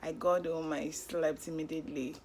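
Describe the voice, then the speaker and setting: A woman's voice: deep voice, flat delivery, normal speed, a little mellow, slight background noise. A young woman with a Nigerian accent chatting casually to her vlog viewers, upbeat and talkative. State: hoarse, lost voice, tired. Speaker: vlogger. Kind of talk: casual vlog chat to camera. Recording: music playing under the voice.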